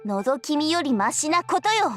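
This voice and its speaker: An actress voicing a blunt, fiery young female college student in a visual novel, brisk and sharp. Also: high-pitched, big pitch swings. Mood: pressing. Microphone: studio recording played from the game. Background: soft game music.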